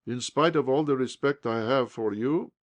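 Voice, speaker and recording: A man's voice: mid-range voice, moderate pace, pitched above the performer's own voice, natural voice. A man voicing an insolent young officer who speaks coolly and with a sneer. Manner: cool, sneering.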